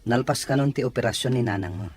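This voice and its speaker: A man's voice: medium pitch, fast talker, slightly rough, faint music behind, lightly acted. A man playing a casual, evasive young employer who is kind to his maid, in an audio drama.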